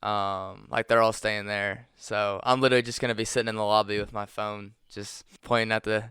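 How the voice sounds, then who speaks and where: A man's voice: lowish voice, level tone, fairly quick, bright, forceful tone, clear, resonant tone, dry recording, clean studio sound. A man in his twenties co-hosting a casual podcast chat, rambling with fillers and laughing often. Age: 20s. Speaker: podcast co-host.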